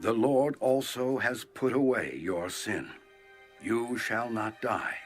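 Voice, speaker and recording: An actor playing a solemn, stern prophet pronouncing judgment in a measured, dramatized scripture reading. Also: male voice, mid-range voice, soft background music, lightly acted. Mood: grave pronouncement. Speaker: audio Bible voice actor.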